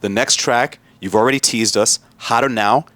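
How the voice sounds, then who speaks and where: A man's voice: mid-range voice, moderate pace, a bit bright, no room sound. A man hosting a casual radio interview, playful and jokey as he asks his questions.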